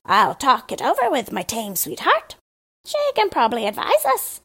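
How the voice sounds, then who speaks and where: A woman's voice: a little high, moderate pace, breathy edge, voice pushed up, heavily acted. Woman reading as a chattering male crow. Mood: thoughtful. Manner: lively, chattering and eager to gossip. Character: chatty, boastful male crow, proud and helpful.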